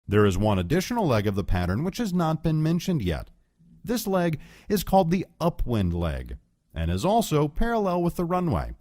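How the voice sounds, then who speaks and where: Guy doing training video narration, super lively pitch swings, dry close sound, explains stuff step by step. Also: dry recording. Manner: clear, measured explaining. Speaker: instructional narrator. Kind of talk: scripted educational narration.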